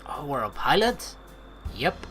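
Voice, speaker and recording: A woman hosting a let's play with a low, bright voice that swings widely. She is playful and expressive, moving between casual commentary and reading aloud, with game music behind her. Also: unhurried.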